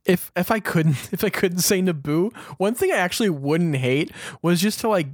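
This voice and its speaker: A man's voice: high voice, expressive, moderate pace, dry recording, studio quality. A man co-hosting a podcast in casual chat, informal and thinking aloud as he talks. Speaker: podcast co-host.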